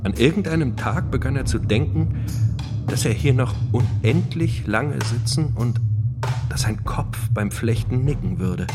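A man narrating literary prose for a radio play in a measured manner. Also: mid-range voice, expressive, over loud music.

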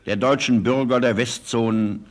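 Male politician, stately, formal 1940s radio speech, middle pitch. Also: fairly even intonation, normal speed. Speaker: politician giving an address.

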